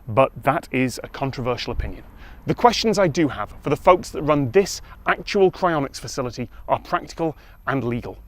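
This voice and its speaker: A man's voice: medium pitch, lively intonation, fairly quick. A man with a British accent narrating a documentary-style video in a dry, wry, matter-of-fact way. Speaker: video presenter.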